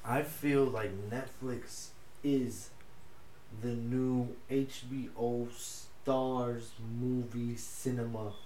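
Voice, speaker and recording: A young man whose first language is Spanish, co-hosting a casual podcast chat in an animated, slangy way with lots of swearing. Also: mid-range voice, extremely slow. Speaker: podcast co-host. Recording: echoey room with faint noise.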